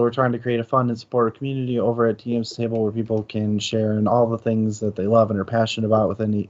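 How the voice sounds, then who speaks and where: A man's voice: on the low side, a little mellow. A man talking casually as co-host of a book discussion show. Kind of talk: casual chat. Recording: dull, muffled lo-fi audio.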